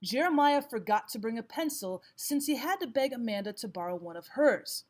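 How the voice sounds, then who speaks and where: A woman teacher giving scripted instructional narration, explaining and reading examples out deliberately. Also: a little high, lively intonation, darkish tone. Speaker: language arts teacher.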